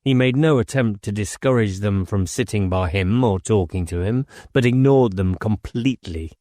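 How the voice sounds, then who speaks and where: A man narrates a novel as an audiobook reader. His voice sits somewhat low. The sound is very dry, with no room around it, and the delivery is scripted storytelling. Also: moderate pace, dark tone, no echo.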